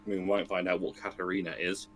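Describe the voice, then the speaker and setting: A man's voice: low voice, flat delivery, quick pace, a bit bright. A man chatting casually as a player talking over choices in a gamebook session. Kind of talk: casual discussion.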